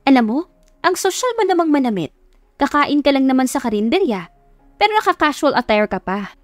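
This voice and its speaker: A woman's voice: high voice, very animated, brisk, no echo, faint music behind, voice pushed up. Woman acting brash, feisty young eatery worker. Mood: brash, teasing. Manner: brash, teasing, accusing.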